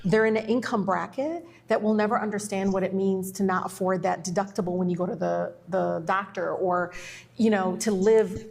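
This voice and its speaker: A woman legislator in an impassioned political discussion, often restarting her sentences. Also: mid-range voice. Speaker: state legislator guest.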